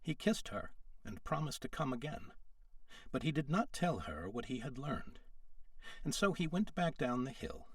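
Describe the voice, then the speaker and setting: A man's voice: medium pitch, no room sound, clean studio sound, far from the mic. A man reading a storybook aloud as a narrator, in scripted storytelling style. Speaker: storyteller, audiobook reader.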